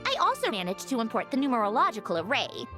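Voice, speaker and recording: A woman's voice: on the high side, huge pitch swings, fast, fairly bright tone, soft background music. A woman voicing a haughty, matter-of-fact young puppet genius in a video game, with a precise, lecturing, slightly impatient delivery. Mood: prickly, explaining. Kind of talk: scripted video game voice acting.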